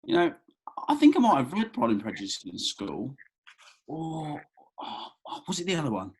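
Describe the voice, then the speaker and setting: A man reading the part of an awkward, eager young man in a comedy script table read, with a hesitant, earnest delivery and a husky voice. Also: fairly high pitch, huge pitch swings, normal speed, full character voice. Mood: sheepish. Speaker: actor in a script read-through. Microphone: video call.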